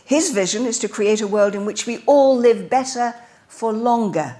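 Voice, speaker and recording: A woman's voice: on the high side, moderate pace, mellow. Older woman introducing speakers at a podium. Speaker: event host introducing speakers. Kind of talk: speech introduction. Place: lecture hall podium. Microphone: podium mic.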